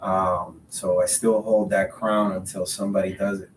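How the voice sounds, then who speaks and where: A man's voice: low-pitched, level tone, moderate pace. A man, a Spanish speaker, answers casually as a podcast guest. He repeats words as he goes. The sound is very roomy and a bit far from the mic, with some faint noise. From Dominican Republic. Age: 30s. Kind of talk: casual interview conversation.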